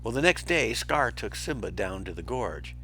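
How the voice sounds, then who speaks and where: A man narrating a children's story aloud in a dramatic, theatrical and expressive way. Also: fairly low pitch, moderate pace, faint music behind. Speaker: storybook reader for schoolchildren.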